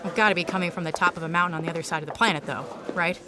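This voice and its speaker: A woman's voice: lowish voice, rapid-fire, very noisy, lightly acted. An actress playing a breezy, joking woman scientist in an audio drama, informal and teasing in a folksy way. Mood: musing, casual.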